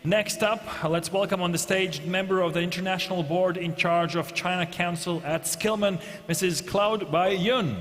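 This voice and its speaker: A man's voice: high voice, normal speed, few pauses, a bit bright. A man hosting an event, making formal stage announcements and stretching out names and welcomes as he calls up speakers. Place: conference hall. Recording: hall reverb with faint noise.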